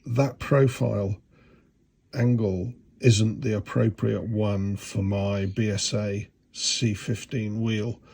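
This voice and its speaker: A middle-aged man with a congested, cold-affected voice, talking through a hands-on job in a halting, explanatory way. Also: fairly low pitch, fairly even intonation, creaky, darkish tone, breathy edge. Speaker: hobbyist mechanic narrating a video. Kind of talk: casual how-to narration. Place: home garage.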